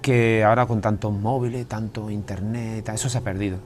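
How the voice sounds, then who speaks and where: A man's voice: on the low side, fairly even intonation, fairly quick, a little hiss or hum. An Andalusian man, a writer being interviewed, talking casually with frequent restarts and repeated words. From Andalusia, Spain. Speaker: guest writer. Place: television studio.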